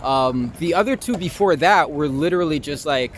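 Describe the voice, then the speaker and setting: A young American man talking casually and candidly as an interview guest, halting and informal, leaning on "like" and the odd swear word. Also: on the high side, animated, a little hiss or hum. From Long Island, New York, US. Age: young adult.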